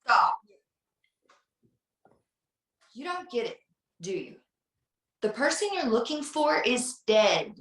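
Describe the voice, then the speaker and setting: A woman playing a flirtatious, guarded housewife in a slasher drama, with a slow, teasing manner. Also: a little high, sing-song, a bit bright. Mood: cold, dismissive. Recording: roomy, echoey video call.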